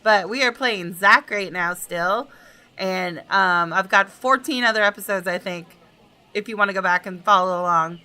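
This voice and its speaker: A woman's voice: mid-range voice, moderate pace, pushed and bright, clear, resonant tone. A woman hosting a gaming video, switching between casual commentary and reading story text aloud.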